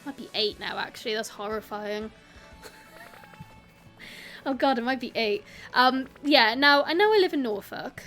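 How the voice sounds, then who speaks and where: A woman's voice: on the high side, expressive, a little slow, pauses often, a bit bright, soft background music. A young woman with a South Welsh accent, chatting casually with viewers on a live stream. She talks in a rambling way, giggles often and greets people as they arrive. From South Wales. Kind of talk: casual live-stream chat while gaming.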